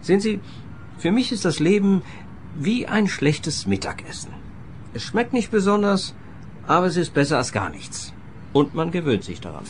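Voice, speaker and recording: An actor playing a bitter, stubborn grown son in a radio play, aggrieved and emphatic. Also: male voice, high voice, very animated, moderate pace, a little hiss or hum.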